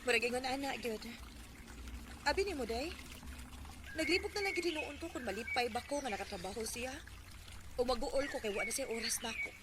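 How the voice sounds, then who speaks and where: A woman's voice: a little high, brisk, pauses often, lightly acted. A woman with a Cebuano Filipino accent acting a hurt, neglected young girlfriend in a radio drama. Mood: lonely, worried. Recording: noisy radio drama recording.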